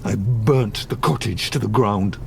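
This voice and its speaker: Slow, gravelly, anguished monster. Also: male voice, lowish voice, background noise. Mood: brooding, vengeful. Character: wretched, vengeful male man-made monster, lonely and bitter. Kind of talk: gothic audio drama acting.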